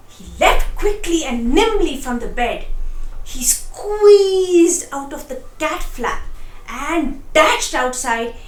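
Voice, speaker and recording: A woman narrating a children's storybook in a lively, expressive read-aloud style, addressing young listeners directly. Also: high voice, slow, echoey room, poor audio.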